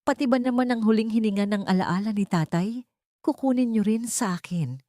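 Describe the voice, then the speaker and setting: A woman voicing a meek young wife in an audio drama story, hushed and sorrowful, with quiet bitterness. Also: on the high side, a little fast, no room sound, studio quality, pitched above the performer's own voice, lightly acted. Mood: bitter, anguished. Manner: hushed, near-whispering, sorrowful.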